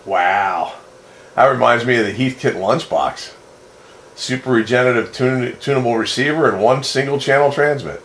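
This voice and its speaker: A man's voice: mid-range voice, moderate pace, fairly bright tone. A man chatting casually and approvingly with fellow radio hobbyists as the host of a CB roundup, in an easygoing manner. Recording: roomy echo, faint background noise.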